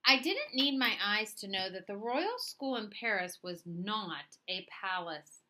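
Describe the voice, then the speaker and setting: A woman reading a picture book aloud as a storyteller, with expressive, deliberate phrasing. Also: mid-range voice. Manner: dramatic, deliberate phrasing with acted dialogue. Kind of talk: expressive children's read-aloud narration.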